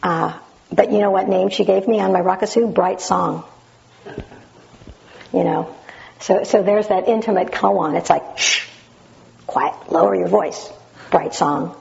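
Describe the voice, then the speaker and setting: Woman reading real slow and dramatic, loud voice, kinda muffled lo-fi sound. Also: medium pitch, fairly even intonation, vocal fry. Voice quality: naturally loud voice. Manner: dramatic, up-and-down intonation. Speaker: Zen practitioner sharing memories of a teacher. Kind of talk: slow dramatic reading, then reflective sharing.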